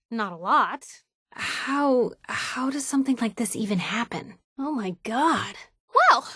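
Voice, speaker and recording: A woman's voice: a little high, no echo, clean studio sound, lightly acted. A woman voicing a wry, inquisitive young reporter in a post-apocalyptic video game, with a sardonic, quippy, conversational delivery. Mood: appalled. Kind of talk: video game character voice lines.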